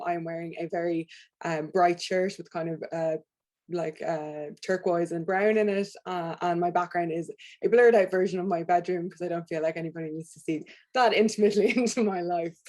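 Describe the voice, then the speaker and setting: A woman's voice: lowish voice, darkish tone. A woman who chairs a panel, speaking from her bedroom on a video call, fluent and friendly with light fillers. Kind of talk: webinar introduction.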